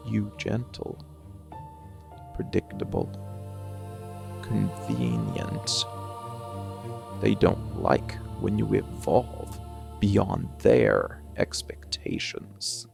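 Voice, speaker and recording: A man playing a brooding, hardened and defiant villain in a dark fantasy ASMR monologue, speaking with deliberate, dramatic pauses and measured intensity. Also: on the low side, creaky.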